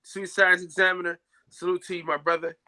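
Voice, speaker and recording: A young man hosting a casual live-stream chat, loudly shouting out viewers by name. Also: high-pitched, little pitch movement, a little fast. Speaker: podcast host.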